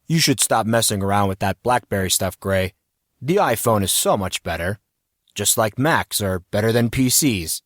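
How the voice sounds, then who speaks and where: A man's voice: lowish voice, lively intonation, moderate pace, dry recording, studio quality. A man voicing an aloof, scornful teenage know-it-all in an audiobook story, matter-of-fact and condescending. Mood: irritated.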